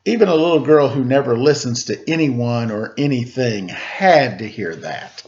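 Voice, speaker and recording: A man narrating a children's story as a storyteller, with dramatic, deliberate pauses. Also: medium pitch, animated, a little mellow, lo-fi sound.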